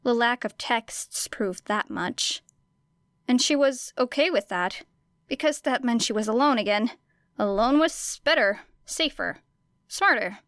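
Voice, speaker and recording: A woman's voice: fairly high pitch, animated, unhurried, fairly bright tone, dry recording, clean studio sound. A woman reading a story aloud as scripted audiobook narration. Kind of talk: scripted fan fiction narration.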